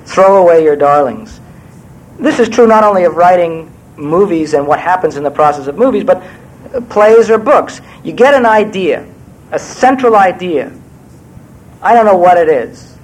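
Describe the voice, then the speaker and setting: Wry older New man, slightly high voice. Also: lively intonation, moderate pace, rough recording. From New York. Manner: wry, digressive, self-deprecating. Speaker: screenwriter guest speaker. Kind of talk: Q&A talk to an audience.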